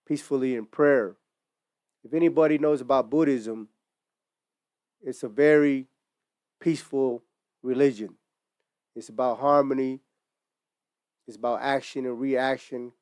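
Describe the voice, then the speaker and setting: Earnest man, smooth voice. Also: medium pitch, no echo, studio quality. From Utah, United States. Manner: reflective, casual street slang, storytelling. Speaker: merch brand founder. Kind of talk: earnest personal monologue to camera. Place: indoors in front of merchandise display.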